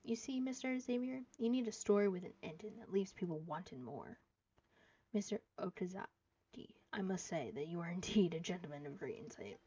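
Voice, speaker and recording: A woman's voice: fairly low pitch, animated, normal speed, warm tone, slightly rough, dull audio. A woman live streaming a game, reading dialogue aloud and reacting, sometimes stumbling over the words.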